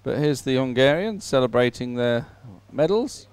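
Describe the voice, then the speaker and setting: A man giving unhurried live sports commentary, filling time between races in halting phrases with frequent pauses. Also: mid-range voice, expressive, darkish tone, clean tone.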